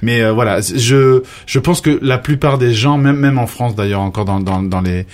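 A man's voice: medium pitch, moderate pace, a little mellow. Man hesitant, searching for his words. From Portugal. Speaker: interviewer. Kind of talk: casual conversation.

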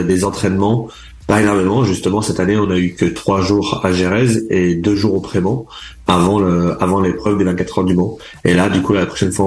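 A young man with a very low voice answers interview questions about racing in an easygoing, halting way. He stumbles through fillers and restarts his sentences often. The sound is roomy and echoey. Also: a little mellow. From France, raised in Charente from age four. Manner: hesitant, many fillers and restarts. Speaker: endurance motorcycle racer being interviewed.

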